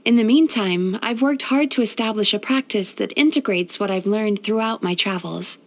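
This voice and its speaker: Actress doing a soft-spoken yokai therapist lady for a game, composed and thoughtful, medium pitch. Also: moderate pace, natural voice. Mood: composed, earnest. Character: gentle, composed female dream-eating yokai therapist. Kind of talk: video game character voice lines.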